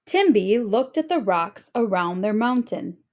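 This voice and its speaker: A woman narrating a children's storybook aloud in an expressive, deliberate storytelling style. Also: mid-range voice.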